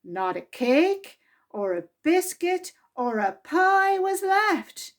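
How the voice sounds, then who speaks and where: Lady reading a picture book to little kids, high voice, really slow and expressive storytime style. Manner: deliberate, expressive reading aimed at young children. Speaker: library storytime reader. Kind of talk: children's storybook narration.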